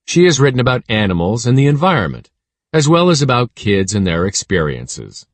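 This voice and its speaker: A man reading a children's story aloud in an expressive, teacherly way. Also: mid-range voice, brisk, dry recording. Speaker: audiobook narrator for children. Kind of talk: scripted read-aloud storytelling.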